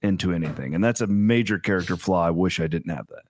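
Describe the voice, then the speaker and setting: A man, hosting a casual podcast chat and talking in quick bursts with restarts. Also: deep voice, slight background noise. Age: 30s. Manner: energetic, talks in bursts with restarts. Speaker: podcast host.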